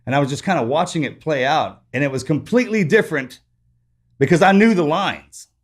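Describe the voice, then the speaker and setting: A man's voice: a little high, fairly quick. A man with a Texas accent hosting a podcast, talking in a rambling, casual way and often restarting his sentences. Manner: gets louder and stronger in accent when excited. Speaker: podcast host. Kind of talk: casual interview chat.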